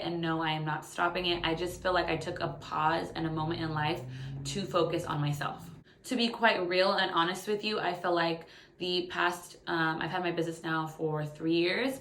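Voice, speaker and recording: A woman in her late twenties talks casually to her phone as a video blogger. Her voice sits a bit low for a woman, and the room adds a clear echo. Her delivery is informal and candid, like catching viewers up on her life. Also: a bit bright, a little hiss or hum. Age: late 20s. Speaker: YouTube vlogger. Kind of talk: casual personal update to camera. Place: living room by a window. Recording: echoey room sound on phone.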